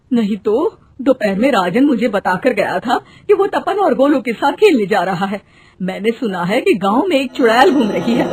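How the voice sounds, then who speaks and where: A woman voicing an anxious, pleading village mother in a cartoon story, expressive and drawn-out. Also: high voice, no echo.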